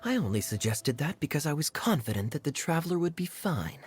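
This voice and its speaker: A man voicing a suave, clever, easygoing young adventurer in a video game, speaking smoothly and analytically. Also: a little high, big pitch swings, a little fast, slight background noise. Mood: confident.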